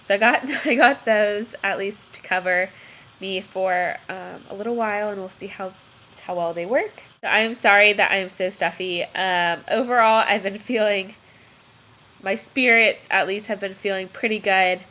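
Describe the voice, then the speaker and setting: A woman's voice: mid-range voice, moderate pace, pushed and bright, low-quality recording. A young woman vlogging casually from her bed, nasal and stuffy from a cold, chatty and rambling with frequent laughs, recorded on a laptop mic. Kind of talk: casual pregnancy update vlog. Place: bedroom, indoors. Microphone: built-in computer mic.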